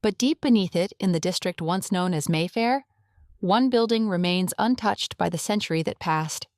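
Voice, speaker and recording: A woman narrating a scripted audio drama in a measured, atmospheric storytelling manner. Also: lowish voice, a little mellow, no room sound, studio quality.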